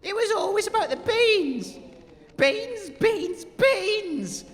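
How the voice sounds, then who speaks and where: Hapless, pompous radio-comedy presenter, acted by a woman: high, wildly swooping delivery, reverberant hall. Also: animated, takes it slowly, full character voice. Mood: outraged. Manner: pompous, theatrical, pleading. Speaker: radio show host character. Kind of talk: audio comedy drama acting. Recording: long echoing hall, faint background noise.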